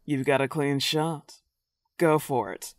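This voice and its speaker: A woman's voice: low voice, fairly even intonation, slow, dry recording. A werewolf girl, acted by a woman, recorded very dry. She is cocky and possessive, leaning on her words with playful, taunting emphasis, as in a comedic roleplay audio drama.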